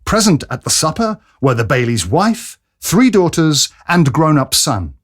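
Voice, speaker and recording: Lively-pitched man narrating an audio drama.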